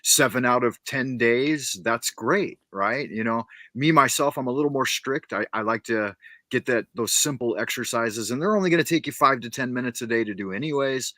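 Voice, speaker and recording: Bright punchy guy hosting an interview, dry close sound, upbeat, stumbles a bit starting sentences. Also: mid-range voice, moderate pace, dry recording. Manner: upbeat, promotional, stammers on starts. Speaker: interview host. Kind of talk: casual interview conversation.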